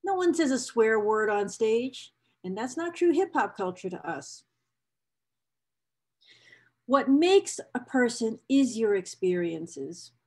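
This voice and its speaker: A woman's voice: fairly high pitch, lively intonation, takes it slowly, pauses often, darkish tone. A woman reading a book excerpt aloud as a presenter, with casual asides to viewers, speaking enthusiastically and repeating phrases for emphasis. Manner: enthusiastic, emotionally invested.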